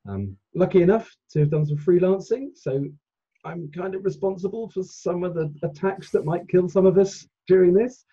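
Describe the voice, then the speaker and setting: A man's voice: high voice, animated, normal speed, mellow, husky, muffled recording. A middle-aged man chatting casually as a guest podcaster over a video call. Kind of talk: casual chat.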